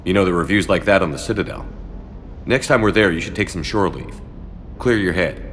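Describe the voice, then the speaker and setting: Steady army commander man acting for a game, deep and fast-talking, direct, bit of background noise. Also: natural voice. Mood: encouraging. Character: steady male military commander, concerned and authoritative. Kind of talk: video game dialogue acting.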